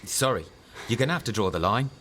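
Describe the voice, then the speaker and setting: An actor playing an easygoing, supportive boyfriend in a radio soap, encouraging and gently joking. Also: male voice, fairly low pitch, lively intonation, fast. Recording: noticeable background noise.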